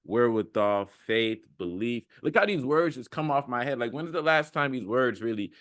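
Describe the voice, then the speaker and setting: A man's voice: medium pitch, lively intonation, normal speed, a bit bright, dry recording, studio quality. A man talking casually and earnestly to his viewers in a motivational monologue, rambling, with slangy phrasing and repeating himself for emphasis. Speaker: self-development video creator.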